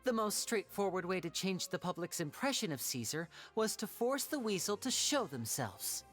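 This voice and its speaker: A woman playing a suave, calm young male stage magician in a video game, speaking in a polished, theatrical way. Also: medium pitch, normal speed, natural voice. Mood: coolly confident. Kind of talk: dramatic video game voice acting. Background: background game music.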